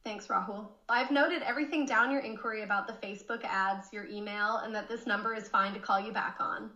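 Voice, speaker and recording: A woman's voice: mid-range voice, steady tone, brisk, bright, forceful tone. A synthetic woman's voice working as a phone receptionist, speaking in a polite, helpful customer service style. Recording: dull, echoey playback of AI call.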